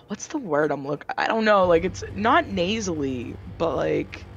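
A woman with a husky, lowered voice chatting casually in a halting, self-deprecating way. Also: expressive, highs cut off, soft background music. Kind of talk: casual chat.

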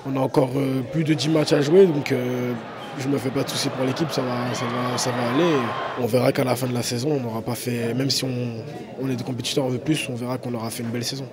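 A man in a quick post-match interview, repeating phrases as he talks. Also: medium pitch, level tone, over a noisy crowd. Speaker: footballer.

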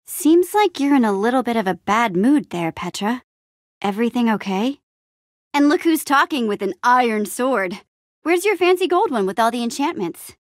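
High-pitched, plucky young adventurer heroine. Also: female voice, normal speed, no echo, clean studio sound. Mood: playful, reassuring. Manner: expressive, plucky, playful. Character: spirited young female adventurer and town leader. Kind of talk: video game voice acting.

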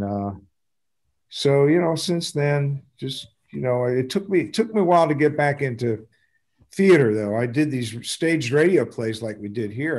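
An older American man chatting casually and self-deprecatingly on a video call, halting and rambling as he goes. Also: mid-range voice, darkish tone. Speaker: community theater actor. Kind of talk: casual chat at an online talkback. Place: indoors at home on a video call. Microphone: video call microphone.

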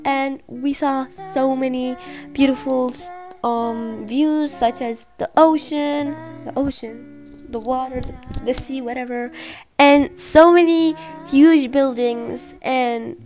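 A woman's voice: sits high, slow, darkish tone. A young woman from Malaysia narrating a casual travel vlog, chatty and enthusiastic with frequent fillers. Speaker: vlogger. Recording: music playing underneath.

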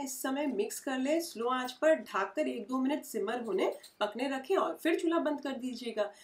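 A woman's voice: on the high side, recorded from a distance. A woman, hosting a home cooking tutorial and explaining each step in a homely way, recorded in her kitchen. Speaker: cooking channel host.

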